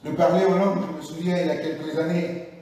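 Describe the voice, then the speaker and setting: Man, pastor, fiery sermon full of alleluias, fairly high voice, picked up from afar in a big reverberant church hall. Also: steady tone, fast. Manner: emphatic preaching, frequent alleluias, shifts between loud and hushed. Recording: echoey church hall, voice from far.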